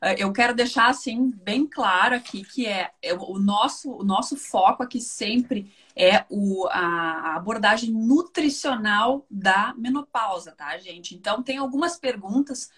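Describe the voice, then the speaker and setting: A woman's voice: mid-range voice, unhurried, few pauses, fairly bright tone, husky. A woman with a southern Brazilian accent, explaining conversationally as a guest nutritionist in a live online talk, chatty, with emphatic repetition and frequent tag questions.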